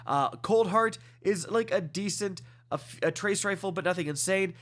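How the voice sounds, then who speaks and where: A man's voice: sits high, a bit bright. A man with a congested nose giving a casual, enthusiastic review of items in a video game, often calling out to viewers as guys. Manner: quick, enthusiastic, fillers like guys and uh. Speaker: gaming video host. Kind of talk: casual gameplay review commentary.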